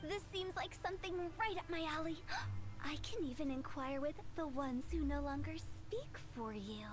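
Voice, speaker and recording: A woman's voice: sits high, expressive, moderate pace. A woman voicing a playful, mischievous young woman who runs a funeral parlor, in game voice acting, sly and theatrical. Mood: teasing.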